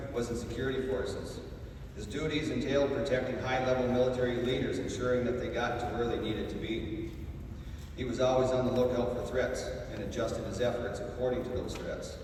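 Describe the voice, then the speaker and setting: A man delivering a formal, prepared tribute speech in a measured, solemn way. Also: medium pitch, darkish tone. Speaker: ceremony speaker. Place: large indoor hall at a museum. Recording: roomy echoing hall, distant mic, faint noise.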